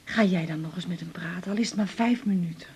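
An actress playing a worried, hesitant middle-aged mother with a husky, breathy voice in a radio drama. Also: lowish voice, fast, a little mellow, slight background noise, lightly acted. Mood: worried, helpless. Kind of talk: naturalistic 1980s Dutch radio drama acting.

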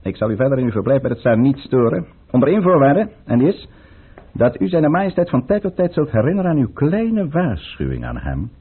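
A scheming courtier count in an old radio drama. He is courtly and ironic, with a medium-pitched husky voice that moves widely in pitch, heard through muffled, narrow sound. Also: big pitch swings, brisk. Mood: mocking, composed. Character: suave, calculating count, scheming chancellor at court. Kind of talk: theatrical radio play acting.

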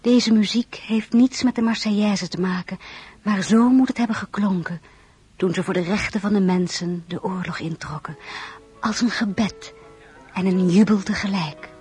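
An actress playing a reflective young wife and mother, narrating her life in a measured, intimate way in a radio drama. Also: mid-range voice, a little mellow, breathy edge, faint music behind.

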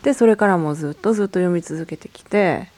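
A woman's voice: lowish voice, fast, darkish tone. A woman from Japan, speaking in a radio feature and slipping between two languages. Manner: mixes Japanese phrases into German.

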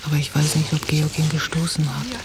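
An actress playing a watchful woman on holiday, narrating a radio drama in a measured, introspective way. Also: low voice, little pitch movement, noisy background. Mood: pensive. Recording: 1990 radio drama, music behind.

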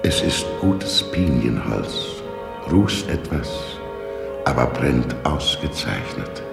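A man's voice: extremely low pitch, sing-song, slow, vocal fry, exaggerated voice. An actor playing a grave, matter-of-fact man in a radio play, recorded in a reverberant hall. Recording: reverberant hall, music behind.

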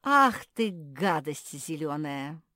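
Woman as a high, emphatic, impulsive little pug. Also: unhurried, dry recording, studio quality, lightly acted. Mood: outraged. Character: impulsive, tearful, stubborn young female pug.

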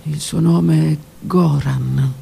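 A woman's voice: sits low, steady tone, takes it slowly, creaky, mellow, a little hiss or hum. A woman narrating her own literary text for a radio theatre piece, intimate and often dropping to a whisper. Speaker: author and narrator.

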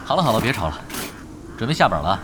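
A man's voice: fairly low pitch, big pitch swings, racing, noisy background. An actor playing a patient, teasing veteran gamer who coaches his team in an audio drama. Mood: patient, bossy. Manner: instructive, drily teasing. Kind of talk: Chinese radio drama acting.

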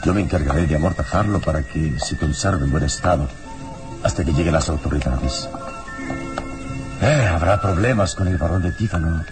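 An actor playing a calm, resolute hero in a radio drama, speaking in a measured, solemn, authoritative way. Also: male voice, a bass voice. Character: calm, resolute male hero, a righteous defender of justice.

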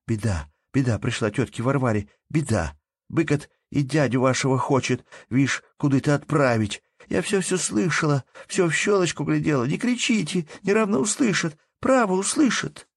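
A man voicing an excited, urgent little peasant girl, speaking breathlessly and high. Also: normal speed, slightly rough, no room sound, clean studio sound, exaggerated voice.

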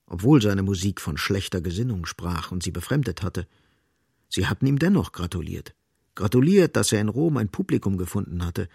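An actor as the grave, sardonic narrator of a literary radio drama, speaking deliberately and with dramatic emphasis. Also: male voice, lowish voice, gravelly, a little mellow, husky, no echo, clean studio sound, full character voice.

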